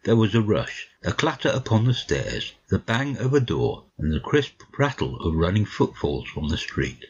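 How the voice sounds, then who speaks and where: A man with a husky voice narrating a dramatic audiobook as the detective's companion, on a slightly muffled recording. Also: fairly low pitch, big pitch swings, moderate pace. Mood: measured storytelling.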